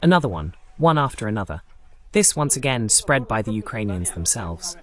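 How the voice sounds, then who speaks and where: A man's voice: fairly low pitch, big pitch swings, moderate pace. A man hosting a political news broadcast, giving running commentary on war footage with pointed, sardonic asides.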